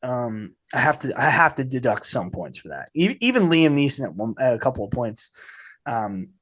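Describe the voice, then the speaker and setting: A man hosting a casual film podcast, speaking informally and haltingly with a slight cold in his voice. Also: medium pitch, expressive, fairly bright tone. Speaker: podcast host.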